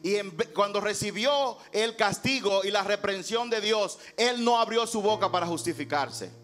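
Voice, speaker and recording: A man's voice: high voice, moderate pace, fairly bright tone, faint music behind. A male pastor preaching an emphatic sermon in a rhetorical way, acting out dialogue from scripture.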